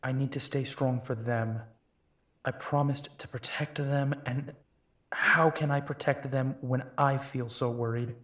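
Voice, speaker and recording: A man's voice: mid-range voice, steady tone, unhurried, a bit bright, no echo. A man playing a young, protective and determined werewolf in an audio roleplay, speaking earnestly. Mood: worried, tender.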